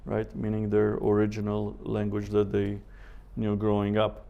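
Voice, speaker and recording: A man teaching a Bible seminar as a professor, speaking in a measured way with deliberate pauses, scripture quotations and rhetorical questions. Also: on the low side, monotone, gravelly, dull audio.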